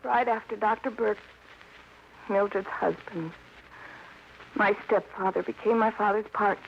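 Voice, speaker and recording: An actress playing a distraught, bitter young daughter, pleading and repeating herself in an old radio crime drama. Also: female voice, mid-range voice, fairly even intonation, a little slow, gravelly. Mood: bitter, weary. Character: distraught young daughter, bitter, insisting her father was murdered. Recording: muffled, hissy old radio broadcast.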